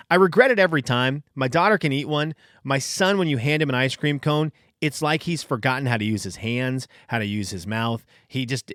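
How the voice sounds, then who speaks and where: A man's voice: medium pitch, a bit bright, dry recording, studio quality. A man in his thirties from Venezuela, hosting a casual solo podcast and telling rambling, self-deprecating stories. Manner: rambling storyteller, self-deprecating, frequent restarts. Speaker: podcast host.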